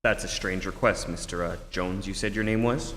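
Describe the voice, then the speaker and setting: A man playing a wary, rich gentleman in an old-time radio serial, cool, firm and politely suspicious, on a theatre stage. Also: low-pitched, steady tone. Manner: measured refusals, polite but suspicious. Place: theatre stage in a live performance. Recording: echoey hall, hum and clear noise.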